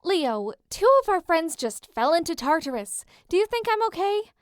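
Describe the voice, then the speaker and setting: An anxious teenage heroine from a fantasy story, acted by a woman, with a voice that jumps high. She sounds emotional, snappy and defensive, in a dry recording. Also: big pitch swings, moderate pace, no room sound. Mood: snapping. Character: Piper, anxious, defensive teenage girl demigod. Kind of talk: dramatic reading of a fan story.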